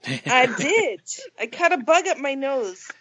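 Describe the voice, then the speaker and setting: A woman's voice: high-pitched, big pitch swings, slow, a bit bright. A woman co-hosting a podcast in casual chat, her nose stuffy from a cold, talking in a chatty, self-deprecating way with laughs. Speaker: podcast co-host.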